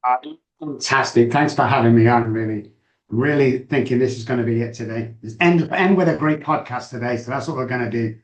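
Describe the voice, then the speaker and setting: A man's voice: medium pitch, brisk, reverberant, poor audio. A middle-aged man with a Manchester accent, a guest telling his story in a chatty, self-assured way. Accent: Mancunian. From England. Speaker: guest, addiction doctor. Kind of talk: candid interview storytelling.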